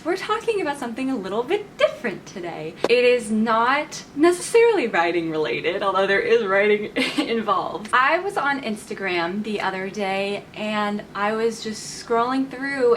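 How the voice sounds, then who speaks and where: A woman's voice: on the high side, a bit bright, slight background noise. A woman in her twenties talking casually to camera as a vlogger, chatty and enthusiastic, laughing often as she talks. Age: 20s. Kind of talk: casual vlog talk to camera.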